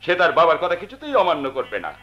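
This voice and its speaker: An arrogant, moneyed young schemer in a folk theatre melodrama. His high voice swings widely and has a bright edge. He sounds swaggering and affronted. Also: big pitch swings, quick pace, highs cut off, soft background music. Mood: scheming. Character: arrogant, moneyed young villain plotting revenge.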